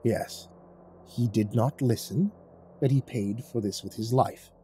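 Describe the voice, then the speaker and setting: A man voicing a quiet, grave male caretaker who speaks in a low hush with careful, formal phrasing. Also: a little hiss or hum, lightly acted. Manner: hushed, halting, formal phrasing.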